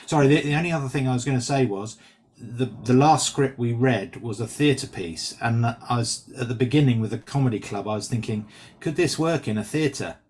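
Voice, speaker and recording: A man's voice: medium pitch, fairly quick, darkish tone. A man, an actor on a reading panel, giving casual spoken feedback in an enthusiastic, encouraging way.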